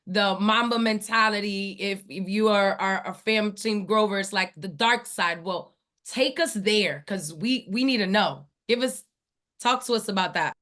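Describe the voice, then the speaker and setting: A woman's voice: medium pitch, measured pace, fairly bright tone. Emphatic woman hosting a training call. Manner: enthusiastic, emphatic, hype-building. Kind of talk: hyping an online training call.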